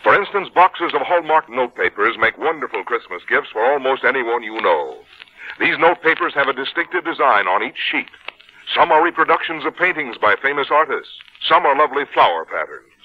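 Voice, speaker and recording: A man's voice: mid-range voice, big pitch swings, a little fast, slightly rough. A man doing formal, polished, scripted 1950s radio commercial announcing. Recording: muffled 1950s radio recording.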